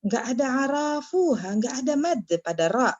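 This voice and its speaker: A woman teaching Arabic in an online class, correcting a student's reading and drilling pronunciation slowly and firmly. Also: sits high, big pitch swings, darkish tone, dry recording, highs cut off.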